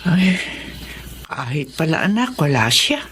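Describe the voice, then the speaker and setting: A loving, anxious wife and mother in a radio drama. Her mid-range voice moves with lively swings over slight background noise. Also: low-pitched, a little slow, exaggerated voice. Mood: curious, concerned. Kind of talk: Tagalog radio drama acting.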